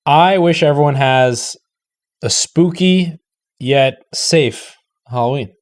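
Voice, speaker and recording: A man's voice: a little high, slow. A man co-hosting a livestream in casual conversation. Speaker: livestream co-host. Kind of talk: casual chat. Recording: music playing behind the voice.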